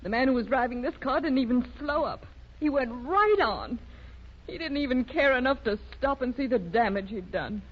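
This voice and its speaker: An actress playing a worried, grieving young wife with bitterness, speaking haltingly and plaintively in 1930s radio drama style. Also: female voice, sits high, animated, husky. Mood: bitter. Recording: muffled, lo-fi 1930s radio recording.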